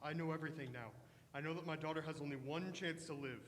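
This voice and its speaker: Radio drama, echoey hall: male actor as obsessed, pleading vampire-hunting father, high-pitched and theatrical. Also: fast talker, natural voice. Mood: anguished, insistent. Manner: urgent, emphatic, theatrical. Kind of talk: old-fashioned radio melodrama acting. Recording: echoey hall with faint noise.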